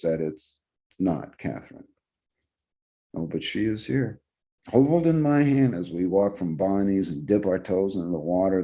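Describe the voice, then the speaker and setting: A man, an actor, reads a literary short story aloud in the first person, with measured, dramatic phrasing. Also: low-pitched, vocal fry, mellow.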